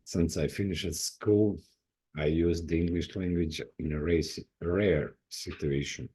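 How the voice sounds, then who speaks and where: Croatian guy talking in english, slow and halting, kinda husky. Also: low voice, steady tone, dark tone. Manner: halting, non-native phrasing with restarts. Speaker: indie author. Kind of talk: personal talk to camera.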